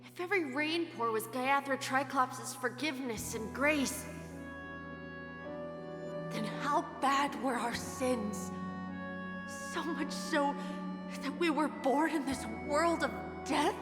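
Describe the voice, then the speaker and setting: A woman's voice: high voice, takes it slowly, long pauses. A woman voicing a young orphaned boy in a video game, earnest, grieving and questioning his faith. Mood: sorrowful, questioning. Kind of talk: dramatic video game acting. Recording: long echoing reverb over loud music.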